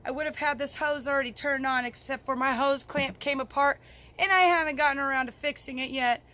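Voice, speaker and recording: A woman's voice: sits high, fairly even intonation, brisk, bright, forceful tone. A woman with a cold and sore throat, giving a folksy, casual how-to talk as a gardening vlogger outdoors in her garden. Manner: folksy, informal, chatty.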